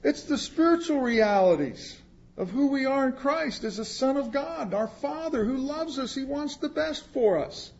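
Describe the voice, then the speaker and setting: A man's voice: very high-pitched, lively intonation, moderate pace, a little mellow, lo-fi sound. A man preaching a sermon, reading scripture aloud and explaining it in plain words, with asides to the congregation. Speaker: pastor.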